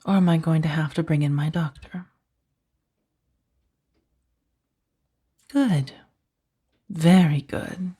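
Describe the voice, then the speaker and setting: Girl doing a lady mafia boss for asmr, lowish soft dark voice, breathy, bossy and teasing, kinda menacing. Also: steady tone. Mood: calm menace. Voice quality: soft, breathy, often slipping toward whisper.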